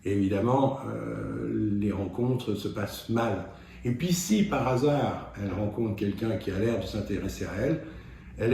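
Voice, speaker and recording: A man giving an explanatory self-help talk as a doctor, in a measured, didactic and halting way with pauses. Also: on the low side, dark tone. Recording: very echoey room, faint noise.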